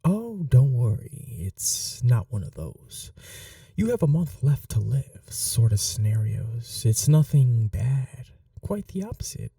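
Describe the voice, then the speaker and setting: Performer as obsessive vampire technician, very dark mellow tone, swooping intonation, polite menace, coaxing half-whispers, ASMR roleplay. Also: mid-range voice, big pitch swings, slow pace, vocal fry, no room sound, clean studio sound, recorded from a distance, exaggerated voice. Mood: reassuring, coy.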